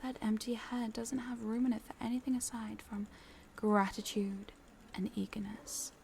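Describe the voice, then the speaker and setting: A woman's voice: a little high, little pitch movement, moderate pace, creaky, darkish tone, a little hiss or hum. A woman playing a smug, possessive corporate boss in a scripted audio roleplay, teasing and condescending, sometimes dropping into a whisper.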